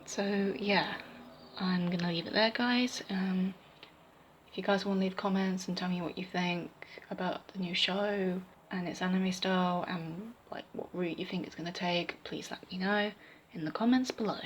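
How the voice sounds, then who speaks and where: A young woman giving her opinions in a casual vlog, rambling with fillers and restarts, her voice slightly croaky. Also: fairly low pitch, steady tone, moderate pace, highs cut off, slight background noise. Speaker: vlogger.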